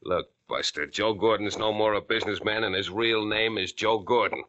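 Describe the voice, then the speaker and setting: A cocky American crook from a vintage radio crime drama. His voice is low and forceful, with a hard, sharp edge. He speaks in smug, menacing slang. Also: normal speed, highs cut off. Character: tough, cocky male crook with a gun.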